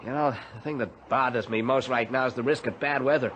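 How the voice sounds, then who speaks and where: An actor playing an anxious, questioning crash survivor in a radio drama, doubting and hesitant. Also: male voice, mid-range voice, a little fast, a bit bright, lo-fi sound, a little hiss or hum, natural voice. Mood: puzzled, worried.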